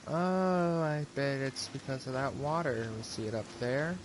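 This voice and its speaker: A man's voice: mid-range voice, expressive, measured pace, background noise. A man giving casual, understated live game commentary, musing aloud as he plays. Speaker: streamer. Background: game music.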